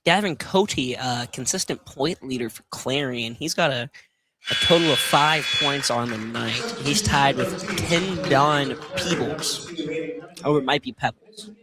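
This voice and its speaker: A man's voice: medium pitch, a little slow, crowd noise. A man giving casual color commentary during a live basketball game. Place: college basketball field house.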